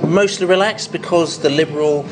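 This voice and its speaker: Male pub-podcast host, London English accent, slightly high voice, bantering. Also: moderate pace, over music, low-quality recording. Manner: jokey, bantering. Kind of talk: casual pub chat. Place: busy pub.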